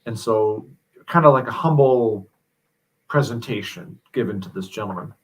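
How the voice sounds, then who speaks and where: A man who is a guest on a talk show, explaining things thoughtfully with many pauses and fillers. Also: lowish voice, breathy edge, rough recording. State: mild headache. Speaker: guest commentator. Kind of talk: casual discussion.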